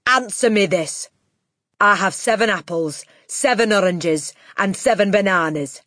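Booming, tyrannical bully of a headmistress. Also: female voice, no echo, clean studio sound. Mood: impatient. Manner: booming, barking, shouted insults with drawn-out emphasis. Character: huge tyrannical bullying headmistress, explosive and contemptuous. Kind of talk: audiobook character acting.

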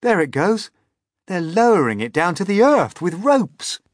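A man voicing a cautious, curious boy in a storybook, urgent and often hushed. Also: on the low side, big pitch swings, unhurried, breathy edge, no echo, clean studio sound, distant mic, heavily acted. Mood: excited alarm.

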